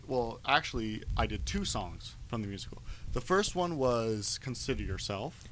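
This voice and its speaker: A man's voice: mid-range voice, lively intonation, moderate pace, slight background noise. A young man co-hosting a podcast, telling a wandering story full of ums and restarts in casual chat. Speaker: podcast co-host.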